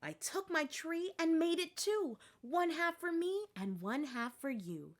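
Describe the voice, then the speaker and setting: Kindly, expressive old rabbit gentleman voiced by a woman. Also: very high-pitched, a little slow, pitched above the performer's own voice. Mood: hushed, tender. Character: kindly, generous old male rabbit living alone.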